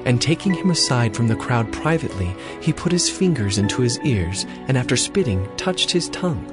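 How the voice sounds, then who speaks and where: A man's voice: mid-range voice, big pitch swings, normal speed, music in the background. A man narrating scripture from a script in a dramatized Bible production.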